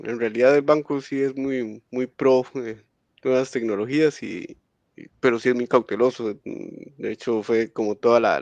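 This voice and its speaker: Panelist, man, casual and relaxed, trails off, dull dry recording. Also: mid-range voice, gravelly, dry recording. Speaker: panelist from a bank. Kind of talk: webinar panel talk.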